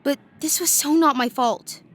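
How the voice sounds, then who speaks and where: A woman playing a defiant, sarcastic, quick-witted teenage schoolgirl in a family audio drama, snarky and indignant. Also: high-pitched, lightly acted.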